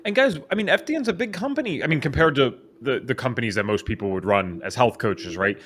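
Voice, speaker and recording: A man hosting a podcast interview from a home office, talking in an excitable, fast way. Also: mid-range voice, slight background noise. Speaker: podcast host. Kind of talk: casual interview chat. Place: home office, empty spare bedroom. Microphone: studio microphone.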